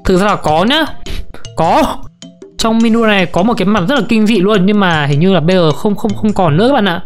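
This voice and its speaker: A young woman giving casual, playful game commentary to her viewers, giggly and often repeating words in quick bursts. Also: mid-range voice. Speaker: gaming video creator. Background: music.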